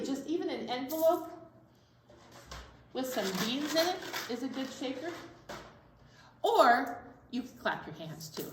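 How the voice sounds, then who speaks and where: Female children's host, high voice, chatty, encouraging, echoey room with clear background noise. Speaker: children's library storytime host. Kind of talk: casual chat addressed to young children. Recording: echoey, distant, noisy room.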